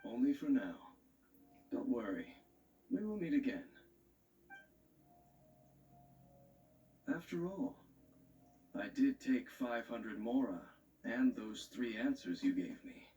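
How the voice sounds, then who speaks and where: An actor playing a solemn, cryptic, world-weary wanderer in a video game, speaking in a measured, formal way. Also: male voice, medium pitch, expressive, dark tone, lightly acted. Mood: calm farewell. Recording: distant game audio with background noise.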